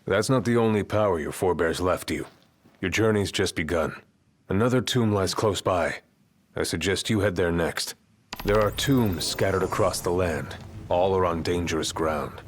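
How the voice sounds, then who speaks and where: A man playing a stern, composed royal guard and mentor in a video game, speaking in a measured, formal, authoritative way. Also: deep voice, a little hiss or hum, lightly acted. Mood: grave, steady. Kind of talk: video game cutscene acting.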